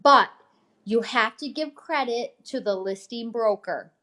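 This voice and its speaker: A woman's voice: on the high side, a little slow, pushed and bright. A woman co-hosting, reading news items and chatting about them in an upbeat, informal way. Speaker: co-host, realtor reading a newsletter. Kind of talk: casual chat while reading news items.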